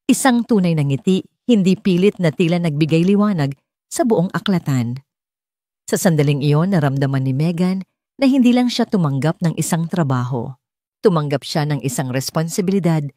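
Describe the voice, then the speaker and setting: A woman's voice: low-pitched, dark tone, dry recording, clean studio sound. A woman with a Filipino accent reading a scripted romance story as an audiobook narrator.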